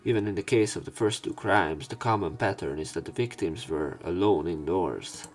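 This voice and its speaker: A man's voice: deep voice, moderate pace, faint music behind. A man reading a visual novel aloud for a let's play, breaking in with casual, sardonic commentary. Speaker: let's player.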